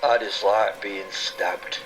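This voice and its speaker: A man voicing a dry, unruffled spirit that speaks quietly inside a knight's head in an audio drama. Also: fairly low pitch, takes it slowly, a little hiss or hum, rough recording. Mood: dry annoyance. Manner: quiet, deadpan.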